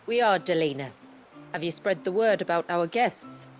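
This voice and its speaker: An actress playing a steadfast village elder and guide in a video game, speaking in formal, measured, archaic phrases. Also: female voice, on the low side, lively intonation, faint music behind, close to an everyday voice. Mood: composed, courteous. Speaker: village elder guiding travelers. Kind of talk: video game cutscene acting.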